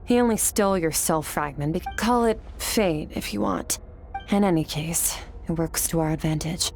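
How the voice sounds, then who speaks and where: A cool, composed young swordswoman from a video game. Her voice sits fairly low and she talks bluntly, with detached authority. Music plays clearly behind her. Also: normal speed, a little mellow. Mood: cool, explanatory. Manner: detached, matter-of-fact, imperious. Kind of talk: dramatic video game voice acting. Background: background music.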